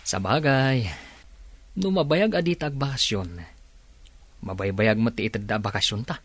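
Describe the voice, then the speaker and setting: A man's voice: medium pitch, animated, moderate pace, fairly bright tone, no room sound. A man playing a chummy young co-worker in a radio drama, casual and bantering. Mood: teasing.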